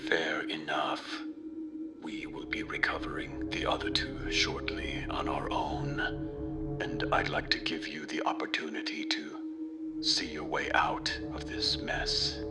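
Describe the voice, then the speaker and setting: A man playing a calmly threatening crime boss in a radio drama, breathy and deliberate, with coldly polite manners. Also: rumbling low, exaggerated voice. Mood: cold menace. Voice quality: breathy, half-whispered. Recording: music behind the voice.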